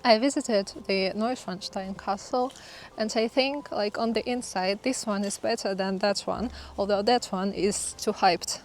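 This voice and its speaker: A woman's voice: medium pitch, a little slow, slight background noise. A woman vlogger giving travel narration and casual commentary on a sightseeing visit.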